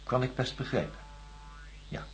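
An actor playing a doctor in a radio drama, questioning a patient in a measured, coaxing, methodical way. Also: male voice, over loud music, natural voice, rough recording. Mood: measured, probing. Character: probing, reassuring male doctor interviewing a patient. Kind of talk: science-fiction radio drama acting.

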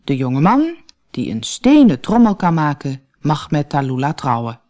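A storyteller voicing a proud, stubborn chief and protective father in a fairy tale, commanding and quick to take offence. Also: medium pitch, sing-song, darkish tone, natural voice.

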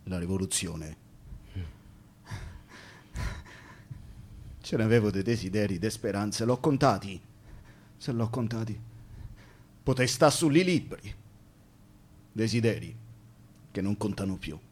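A man's voice: on the low side, expressive, moderate pace, long pauses, darkish tone. An actor playing a working-class man with a Roman accent, lamenting wasted time in plain dialect in a radio drama. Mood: bitter, subdued. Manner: plain-spoken, repetitive, dialectal. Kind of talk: radio theatre monologue with chanted refrains. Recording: music clearly behind the voice. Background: string music.

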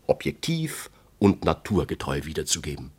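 A man voicing an imperious, vain and quick-tempered tsar in a radio-play tale, with commanding, declamatory delivery. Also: very deep voice, big pitch swings, darkish tone, slightly rough, exaggerated voice. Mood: delighted, grand.

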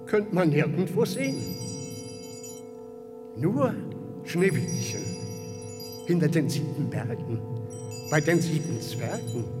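Reverberant, solemn magic mirror. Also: male voice, sits high, exaggerated voice. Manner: solemn, measured rhyming proclamation. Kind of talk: German radio play acting. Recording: long reverb, music mixed in.

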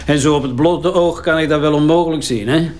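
Male actor as Flemish forensic investigator in a crime drama: chatty, wry, fairly high voice, bantering while he works. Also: brisk, darkish tone, close to an everyday voice. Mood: brisk, dismissive. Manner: wry, bantering, hesitating as he examines. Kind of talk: naturalistic audio crime drama acting.

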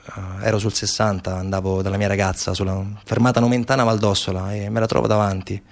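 A man giving a theatrical monologue as a stage narrator, measured, with deliberate pauses and repetitions. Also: fairly low pitch, level tone. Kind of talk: theatrical monologue, storytelling and recitation. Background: instrumental music.

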